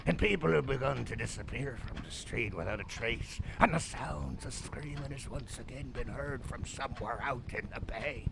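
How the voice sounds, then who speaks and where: A man's voice: medium pitch, moderate pace, few pauses, very noisy, close to an everyday voice. An actor playing a drunk old seaman who spins grim tavern tales in a theatrical, ominous manner. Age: older. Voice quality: gravelly, creaky. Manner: theatrical, ominous, salty old-sailor phrasing. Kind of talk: theatrical horror audio drama.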